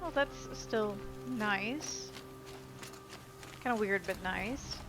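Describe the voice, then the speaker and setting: A woman's voice: mid-range voice, very slow, over music. A woman giving casual, informal commentary as she plays a video game, in an easygoing manner. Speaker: gamer, let's play host.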